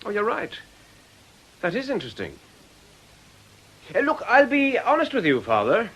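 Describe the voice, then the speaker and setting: Bright, lively, glib nightclub owner. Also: male voice, high voice, normal speed, slightly rough, exaggerated voice, poor audio. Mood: frank, disarming. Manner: sardonic, breezy, evasive. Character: glib, cagey nightclub owner, a worldly man. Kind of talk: radio drama acting.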